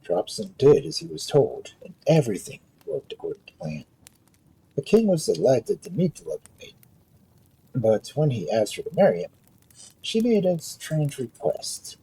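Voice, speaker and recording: A man's voice: a little high, huge pitch swings, moderate pace, vocal fry, dark tone, breathy edge, poor audio. A man working as a storyteller, reading a folk tale aloud.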